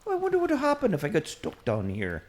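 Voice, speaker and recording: Woman playing an excitable, chatty Irish priest. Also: medium pitch, fast talker. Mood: rambling, curious. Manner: rambling, eager, easily distracted. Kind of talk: comic audio drama acting.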